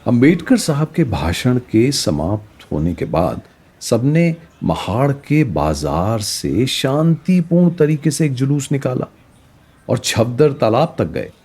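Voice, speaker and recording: An actor playing a learned teacher who explains history in a didactic, rhetorical way, mixing in English words, in an educational radio play. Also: male voice, mid-range voice, sing-song, slow pace, a little mellow, husky, heavily acted. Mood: earnest.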